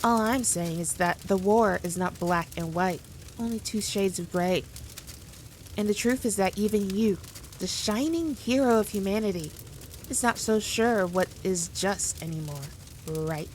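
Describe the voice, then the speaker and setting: A woman playing a powerful demon queen in an ASMR roleplay, condescending, teasing and theatrical, with deliberate, drawn-out phrasing. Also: medium pitch, darkish tone, slight background noise, natural voice. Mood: reasoning, smug. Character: powerful demon queen, haughty, teasing, dominant, toying with her foe. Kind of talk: scripted ASMR roleplay addressed to the listener.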